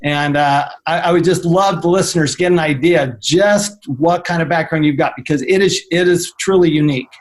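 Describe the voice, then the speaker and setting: A man with a fairly high speaking voice hosts an interview over a call. He rambles a little, restarting phrases and padding with fillers as he builds up his guest. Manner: halting, many fillers and restarts. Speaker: host. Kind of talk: interview podcast. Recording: remote call.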